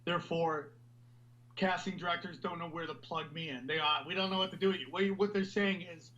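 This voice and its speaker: A man hosting a podcast, talking loudly and animatedly in a rambling, casual way. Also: on the high side, fairly even intonation, fairly quick, highs cut off. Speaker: podcast host.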